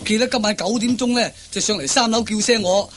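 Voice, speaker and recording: A high-pitched, needling male pal. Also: brisk, fairly bright tone. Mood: casual. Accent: Cantonese. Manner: needling, emphatic, drawling. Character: teasing, goading male friend of a henpecked husband. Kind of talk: Cantonese radio comedy play acting.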